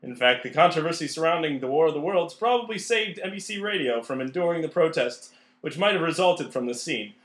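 A man's voice: a little high, lively intonation, brisk, fairly bright tone, roomy sound. A man co-hosting and narrating a comedy podcast, recorded in a room. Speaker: narrator and co-host.

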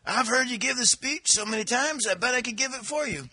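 A man's voice: very high voice, brisk, sharp tone, slightly rough, no echo, pitched above the performer's own voice, full character voice. A man putting on the voice of a male chauffeur who stands in for a scientist, with a jokey made-up accent. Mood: playful. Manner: jokey put-on accent, slightly raised voice.

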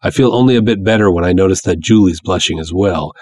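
A man's voice: low-pitched, fast, a little mellow, dry recording. A man giving a dramatic first-person narration of a story, as its narrator.

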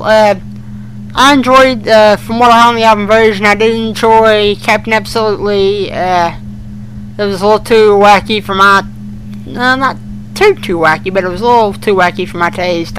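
A man with a notably high-pitched voice talks as a podcast co-host. His delivery is casual, folksy and noncommittal. A faint hum sits behind him on an amateur recording. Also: normal speed, fairly bright tone, poor audio. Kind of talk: casual fan podcast chat. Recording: amateur podcast with humming noise.

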